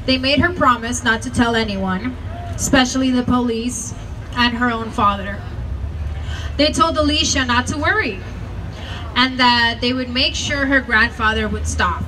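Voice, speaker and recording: A woman's voice: a little high, a little slow, bright, forceful tone. A woman reading introductions of speakers at an outdoor rally. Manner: reads introductions from notes. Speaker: rally emcee. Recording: distant mic with street noise.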